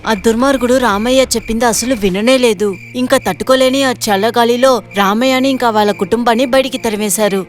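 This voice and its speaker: A woman narrator reading a scripted moral story for an animated video. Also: a little high, a little fast, faint music behind.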